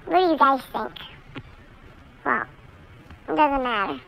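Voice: high voice, expressive, measured pace, creaky, bright, forceful tone, a little hiss or hum, full character voice, rough recording. A performer voicing a chatty six-month-old male Sheltie puppy who rambles on in an earnest, childlike way. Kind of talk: talking-pet video monologue.

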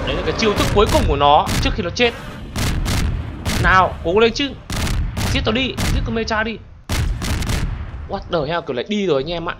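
A young man commentating a monster battle video game for his channel, excitable and constantly talking to his viewers. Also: high-pitched. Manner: excitable, exclaims often, addresses viewers constantly. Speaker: gaming channel host. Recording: game battle noise behind voice. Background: explosions and gunfire from game.

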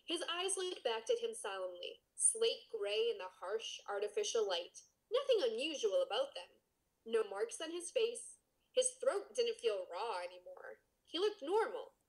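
Female podcast co-host, high and very animated voice, playful, teasing delivery. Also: a little slow, low-quality recording. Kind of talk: casual banter and humorous read-aloud.

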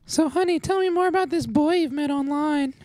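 A woman's voice: sits high, a little fast, warm tone, lightly acted. A woman playing a doting, nosy middle-aged mother in a live radio comedy, gushing and fussing over her family. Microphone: stand microphone.

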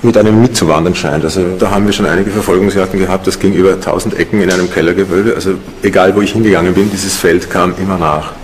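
A man explaining something off the cuff in an interview, haltingly searching for words. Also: low-pitched, steady tone, a little hiss or hum. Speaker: interviewee, ghost hunter.

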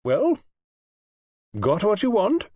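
A man's voice: sits high, huge pitch swings, slow, darkish tone, no echo, pitched above the performer's own voice, exaggerated voice. A man reading an audiobook, voicing a robust, imperious elderly aristocratic woman who talks in a forthright, snappish way. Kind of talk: audiobook character voice.

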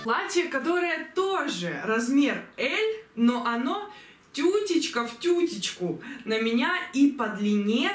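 A young woman reviewing clothes she ordered online, chatty and enthusiastic, slightly hoarse from a cold, filmed at home on a distant camera. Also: sits high, big pitch swings, takes it slowly, soft background music. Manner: chatty, enthusiastic, many fillers. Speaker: fashion vlogger. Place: bedroom at home, indoors. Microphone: camera mic from a distance. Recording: roomy echo, voice from far off.

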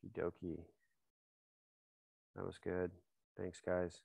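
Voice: low voice, completely flat delivery, takes it slowly, gravelly, darkish tone, slightly rough, dry recording. A meeting chair talking casually and briefly on a video call.

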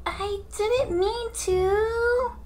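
A woman's voice: extremely high pitch, steady tone, a little mellow, voice pushed up, full character voice. A woman voicing an apologetic little sister, a child who pleads whinily. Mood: sorry, pleading. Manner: whiny, slow pleading.

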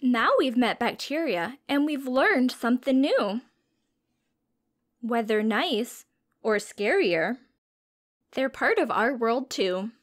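A woman's voice: on the high side, animated, takes it slowly, long pauses. A woman reading a storybook aloud to young children as a teacher, expressively, with simple questions and explanations.

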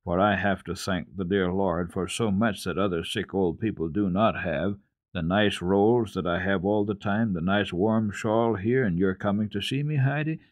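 A man voicing a frail, kindly, pious old grandmother in a storybook, with a gentle, reassuring manner. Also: low-pitched, moderate pace, dry recording, close to an everyday voice. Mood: grateful, gentle.

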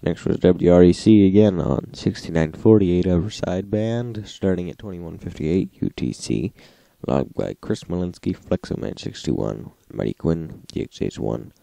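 A man's voice: sits low, animated, normal speed, vocal fry, few pauses, warm tone, no echo. A man narrating a log in a matter-of-fact, list-like way, as the host of a recap. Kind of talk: scripted narration, reading logs.

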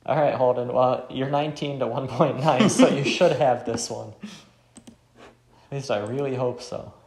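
A man with a gravelly, medium-pitched voice chats casually through a game he is playing. The room is echoey, with faint music behind him. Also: normal speed, darkish tone. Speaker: gamer playing a visual novel. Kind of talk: casual gameplay commentary.

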